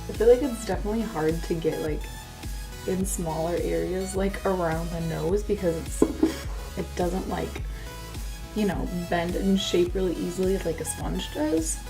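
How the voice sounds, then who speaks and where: Chatty young woman, slightly hoarse. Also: lowish voice, moderate pace, music in the background, rough recording. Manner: chatty, self-deprecating, lots of "like". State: slightly hoarse from being sick. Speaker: beauty vlogger. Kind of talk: casual makeup first-impressions chat. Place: bedroom, indoors.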